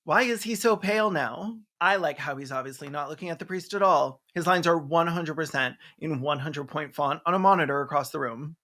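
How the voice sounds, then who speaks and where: A snarky film reviewer with a medium-pitched voice. The delivery is campy, sarcastic comic commentary, made for a video essay. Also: a little fast, few pauses, sharp tone. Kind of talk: comedic movie commentary.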